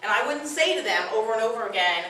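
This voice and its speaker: A woman's voice: fairly high pitch, animated, quick pace, pushed and bright, recorded from a distance, slight background noise. Firm woman speaking in echoey room. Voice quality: strong voice. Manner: firm, direct, conversational. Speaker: parenting workshop speaker. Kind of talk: addressing a live audience. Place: room with audience.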